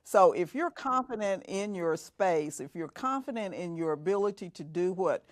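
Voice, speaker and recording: A middle-aged woman speaking as a guest mentor, measured and reflective, often repeating phrases for emphasis. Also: fairly low pitch, animated, dry recording, clean studio sound. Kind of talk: reflective interview talk.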